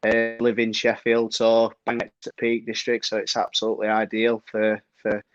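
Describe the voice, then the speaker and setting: A man's voice: fairly low pitch, normal speed, no echo. Yorkshire bloke in his 30s, laid back and rambly, dry dull lo-fi sound. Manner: relaxed, rambling, many fillers and sort ofs. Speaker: guest trail runner. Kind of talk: casual interview chat. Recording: dry, dull lo-fi stream audio.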